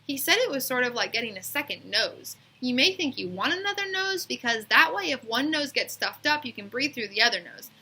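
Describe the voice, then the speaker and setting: A woman's voice: high voice, very animated, brisk, few pauses, bright, forceful tone, breathy edge, voice pushed up, heavily acted. A woman voicing an indecisive, earnest schoolboy in a children's storybook, with an emphatic, griping manner. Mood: explaining earnestly. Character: indecisive, earnest schoolboy agonizing over a big choice.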